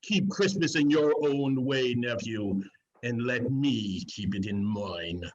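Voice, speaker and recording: A man performs a grumpy old miser from an amateur dramatic reading. He is scornful and gruff, with slow, husky delivery that swings widely. The audio is a little dull. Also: mid-range voice, animated. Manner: gruff, curt, exclamatory. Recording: dull lo-fi video-call audio.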